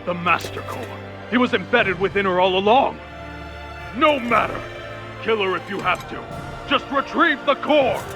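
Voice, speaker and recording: An actor playing a ruthless male enemy commander in a video game, shouting orders to his soldiers. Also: high-pitched, normal speed, lightly acted. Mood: commanding. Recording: game audio with music.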